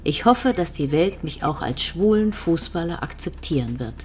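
An actress playing a composed, probing police detective in a TV crime drama, with measured, insistent questions. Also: female voice, low-pitched, expressive, background noise. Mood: solemn. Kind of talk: naturalistic German TV drama dialogue.

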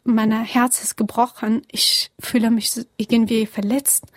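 A young woman from Afghanistan answering interview questions earnestly in halting, German, with many pauses and fillers. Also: a little high, level tone, no echo, clean studio sound.

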